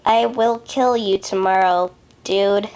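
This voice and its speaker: Very slow, halting amateur woman reader. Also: medium pitch, steady tone, darkish tone. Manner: halting, stumbling over words, self-correcting. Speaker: amateur fan fiction reader. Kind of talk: halting read-aloud narration of a story.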